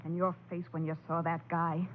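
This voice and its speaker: An actress playing an excitable, frightened sideshow gorilla trainer with slangy, ungrammatical speech in a 1940s radio crime drama. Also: female voice, on the low side, normal speed, natural voice. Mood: accusing. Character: jittery, uneducated female sideshow gorilla trainer, suspicious and frightened. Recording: muffled, noisy old 1940s radio recording.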